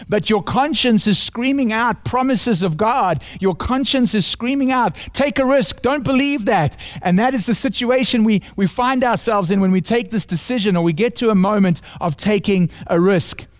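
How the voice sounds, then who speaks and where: A man's voice: high voice, moderate pace, few pauses. A man with a South African accent preaching a sermon, earnest and building points through repeated phrases. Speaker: pastor.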